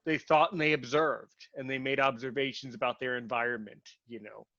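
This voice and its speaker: A man's voice: medium pitch, steady tone, brisk, sharp tone, no room sound. A man hosting a casual conversation, who rambles and restarts his sentences a lot. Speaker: host. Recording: muffled, lo-fi, dry sound.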